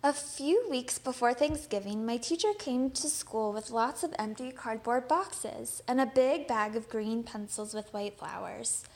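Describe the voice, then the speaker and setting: Higher-voiced woman reading a storybook. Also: measured pace, hardly any pauses, darkish tone. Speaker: read-aloud storyteller. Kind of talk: children's picture book read-aloud.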